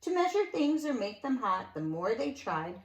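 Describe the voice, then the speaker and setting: Animated woman reading a storybook, slightly high voice. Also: moderate pace. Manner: animated, dramatic emphasis, conversational asides. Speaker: nursery school teacher reading a storybook aloud. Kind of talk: expressive read-aloud storytelling for young children. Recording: echoey room sound.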